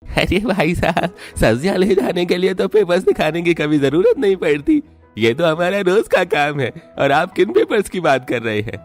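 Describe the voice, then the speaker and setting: Man acting animated honest farmer uncle, highish voice. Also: normal speed, soft background music. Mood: protesting. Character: honest, protesting farmhouse vegetable-truck driver uncle.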